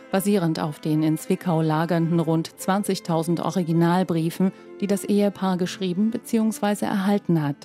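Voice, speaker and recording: Low, mellow woman reporter narrating. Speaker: reporter narrating a news feature. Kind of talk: scripted narration.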